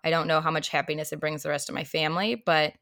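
Hoarse, chatty woman podcaster. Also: deep voice, fast talker, no echo, clean studio sound. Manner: laughs often, animated and chatty. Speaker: podcast co-host. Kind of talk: casual chat.

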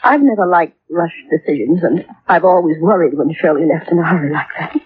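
An actress playing a worried, middle-aged mother in an old-time radio drama, speaking in a subdued, hesitant manner with a husky voice. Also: low voice, a little fast, dry recording. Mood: worried, regretful. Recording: thin, phone-like old radio recording.

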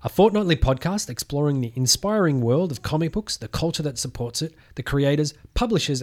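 A man hosts a comics podcast in a mid-pitched voice. He is relaxed and conversational, often hesitating and restarting his phrases. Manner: hesitant, with frequent "uh" and restarts when he talks at length. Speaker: podcast host. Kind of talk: casual interview chat.

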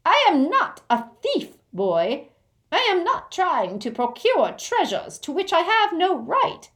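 A stern storybook goblin , acted out by a woman, pitched well up and swinging widely. He is proud and quick to anger, speaking formally and firmly, as one who makes demands. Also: big pitch swings, heavily acted. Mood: angry, indignant. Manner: firm, indignant, formal speech.

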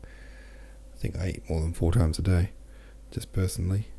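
A man's voice: sits low, steady tone, normal speed, gravelly, warm tone, a little hiss or hum. A man hosting a book podcast, thinking aloud about a chapter, reading out listener comments and then reading the novel aloud. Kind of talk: casual book-club talk and reading aloud.

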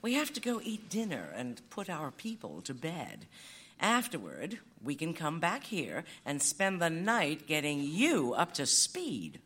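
A canine matriarch running a secret operation, acted by a woman, speaks on the lower side with very mobile intonation. She is motherly and bossy, explaining everything with patient authority in an audio-theatre style. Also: huge pitch swings, measured pace, fairly bright tone, husky, exaggerated voice. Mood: brisk, motherly. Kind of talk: live audio theatre acting.

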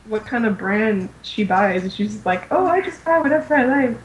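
A woman's voice: mid-range voice, expressive, brisk, a little hiss or hum, poor audio. A woman co-hosting a podcast in casual, informal fan chat. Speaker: podcast co-host.

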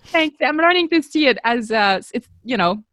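A woman's voice: a little high, lively intonation, moderate pace, a bit bright, no echo. A woman in her forties from France with a French accent, a podcast guest talking earnestly and poking fun at herself, with plenty of ums and you knows. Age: 40s. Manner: earnest, self-deprecating, fillers like um and you know. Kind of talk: casual interview chat.

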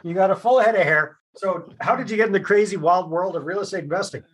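A man's voice: high-pitched, fast, low-quality recording. A man hosting a podcast interview, with an upbeat, praising and casual way of asking questions. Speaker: podcast host.

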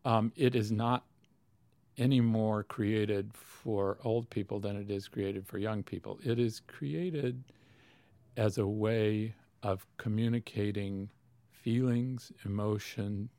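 A man with a low, gravelly voice explains things at length in an interview. He pauses often and restarts his sentences. The sound is dry and close. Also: dark tone, no room sound. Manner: thoughtful, many fillers and restarts. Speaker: opera expert guest. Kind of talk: interview answers.

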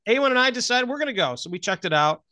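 A man's voice: very high voice, big pitch swings, racing, pushed and bright, no echo. A man hosting a podcast in a casual, chatty style, asking questions and telling stories. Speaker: podcast host. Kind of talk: casual chat, interviewing and storytelling. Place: close, dry recording.

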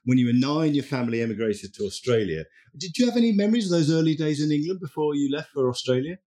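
A man hosting a podcast, introducing and interviewing his guest in a brisk, chatty manner. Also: a little high, big pitch swings, warm tone. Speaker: podcast host. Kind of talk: interview host chat.

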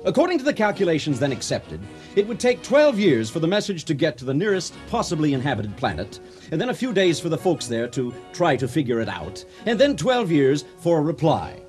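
An actor playing a pompous, folksy orator giving a comic ceremonial speech in a radio drama. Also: male voice, a little high, very animated, brisk, a little mellow, faint music behind. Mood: folksy explaining. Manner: grandiloquent, theatrical delivery.

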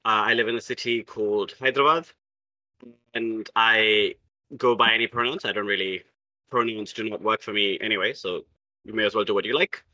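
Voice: medium pitch, fairly even intonation, a little fast, sharp tone, no room sound, low-quality recording. A player from South India who designs games, talking in a playful, theatrical and grandiose way. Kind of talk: casual podcast chat.

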